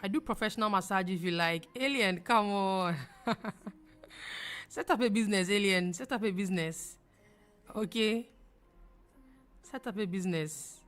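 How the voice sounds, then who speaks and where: A woman's voice: mid-range voice, normal speed, pauses often, a bit bright, faint music behind. Chatty Ghanaian woman hosting a live stream. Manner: chatty, emphatic, repeats phrases, laughs easily. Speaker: livestream trading host. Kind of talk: live-stream chat answering viewers.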